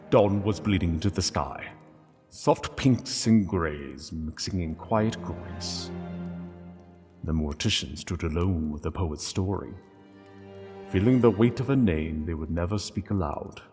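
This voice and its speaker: A man narrating a dramatic scripted story in a solemn, measured way, pausing between phrases. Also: sits low, lively intonation, a little mellow. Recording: long reverb, music underneath. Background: music.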